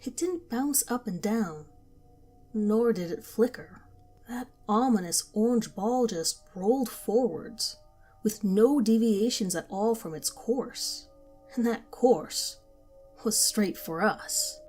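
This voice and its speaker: A woman narrating a first-person horror story in a calm, measured storytelling manner. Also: fairly high pitch. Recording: faint background music.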